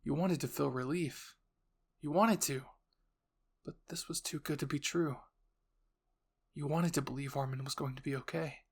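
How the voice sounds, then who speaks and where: A male narrator with a fairly high voice delivers a scripted dramatic story. He addresses the listener in the second person, as in a roleplay. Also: moderate pace, creaky, long pauses, husky. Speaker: narrator and voice actor.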